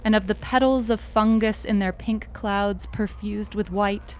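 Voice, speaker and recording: Slow-paced woman reading literary fiction. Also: medium pitch, fairly even intonation, a little hiss or hum, poor audio. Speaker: short story narrator. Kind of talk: literary audiobook narration, intimate first person.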